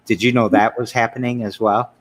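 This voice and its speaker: A man's voice: mid-range voice, flat delivery, brisk. Rambling Michigan man hosting a show. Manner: rambling, enthusiastic, trails off and restarts. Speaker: show host. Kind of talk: casual interview chat.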